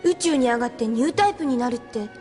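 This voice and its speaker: A naive, curious youngster , voiced by a woman, with a very high voice. The childlike, questioning delivery belongs to an audio drama, with faint music behind it. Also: animated, very fast, mellow, natural voice. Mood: puzzled, questioning. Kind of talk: Japanese audio drama acting.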